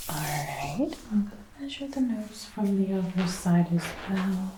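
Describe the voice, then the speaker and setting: A woman doing soft-spoken ASMR roleplay, murmuring and whispering up close into a binaural microphone. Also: fairly low pitch, slight background noise, poor audio. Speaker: ASMR creator acting out a personal attention measuring roleplay. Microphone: close binaural microphone, ear to ear.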